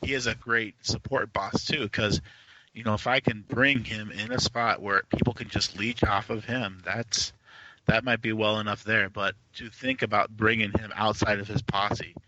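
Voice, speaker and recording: A man with a low but bright, forceful voice chats as a podcast guest. He repeats phrases for emphasis, over a somewhat lo-fi recording with faint noise. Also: level tone, moderate pace. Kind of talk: casual tabletop gaming chat. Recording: lo-fi line with noticeable noise.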